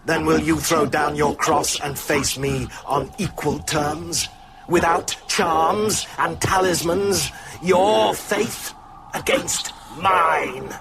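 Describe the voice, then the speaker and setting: An actor playing a mocking, cruel master vampire in a radio horror drama, with a gravelly voice and theatrical, taunting delivery. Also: male voice, on the high side, slow pace, heavy background noise. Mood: sly bargaining.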